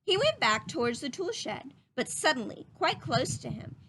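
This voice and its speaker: A woman's voice: sits high, sing-song, normal speed, noisy background. A woman reading a children's story aloud in a teacherly, explanatory way, as if speaking to young listeners.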